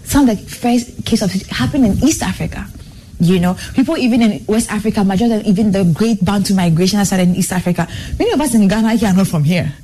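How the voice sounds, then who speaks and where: A young woman speaks earnestly as a talk show guest, animated and quick to pile one phrase on another. Her voice is mellow and dark, with a faint hiss underneath. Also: medium pitch, poor audio. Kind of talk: personal storytelling in a talk show.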